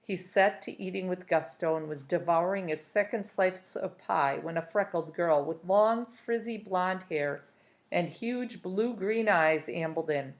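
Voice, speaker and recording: A woman's voice: fairly low pitch, measured pace. A woman narrating a children's novel aloud as a storybook reader.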